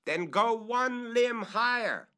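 A man doing a frantic shouting treasure hunter. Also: takes it slowly, clear, resonant tone, heavily acted. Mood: excited, commanding. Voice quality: shouting, high, excited. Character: agitated excited male treasure hunter shouting up a tree.

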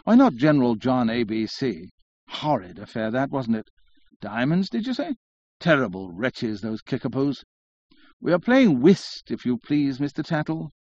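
A man's voice: medium pitch, big pitch swings, normal speed, darkish tone, dry recording, highs cut off. A man voicing a pretty, gossipy society widow with a fluttery, exclamatory manner.

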